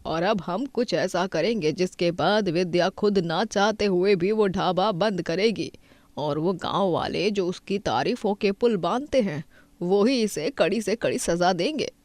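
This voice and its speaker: A woman's voice: lowish voice, unhurried, a little mellow, no room sound, natural voice. A woman voicing a resentful, scheming middle-aged village mother in a cartoon story, speaking with vindictive emphasis. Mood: bitter, scheming.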